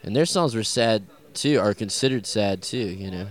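A man with a Southern US accent, a musician answering interview questions in a loose, halting way, full of fillers and restarts. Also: fairly low pitch, lively intonation. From American South. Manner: rambling, halting, many fillers and restarts, swears casually.

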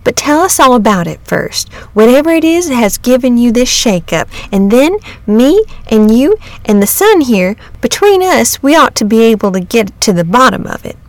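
Storybook country wife and mother, acted by a woman reader: sensible, soothing, homely rustic turns, raised pitch, dry close sound. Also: big pitch swings, normal speed, hardly any pauses, no room sound. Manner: homely rustic phrasing, reassuring. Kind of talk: storybook character reading.

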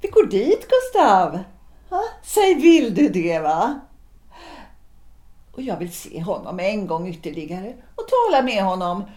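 A woman acts out a reserved, self-possessed wife in a period radio play. She speaks at a medium pitch with measured, dryly ironic phrasing, and the room around her echoes noticeably. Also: big pitch swings, dark tone, natural voice. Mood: eager. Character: composed, guarded wife of a politician, former singer. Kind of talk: period stage-play audio drama acting.

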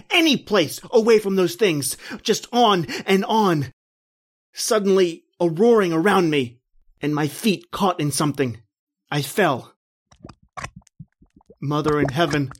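A man acting out a terrified man telling how he died in the tar pits, in old-time radio drama style. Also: high voice. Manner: intense, rising to panicked shouting. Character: terrified man narrating his own death.

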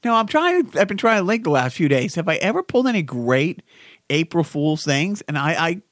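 A man's voice: a little high, sing-song, a little fast, fairly bright tone, no room sound, clean studio sound. A middle-aged man hosting a podcast, chatting casually in a rambling way with frequent restarts and laughter. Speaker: podcast host. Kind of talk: casual chat.

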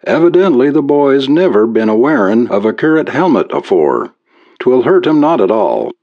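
A man's voice: mid-range voice, very animated, normal speed, muffled recording, voice pushed up. A man voicing a curious, breezily confident alien visitor who speaks in a folksy Irish brogue. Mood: breezy.

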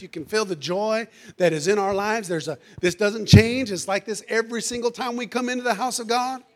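A preacher man with a notably high voice delivers a fervent church sermon. His voice is going hoarse, and he peppers his lines with "amen" and "hallelujah" while calling out to the congregation. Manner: calls out to the congregation. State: losing his voice. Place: church sanctuary.

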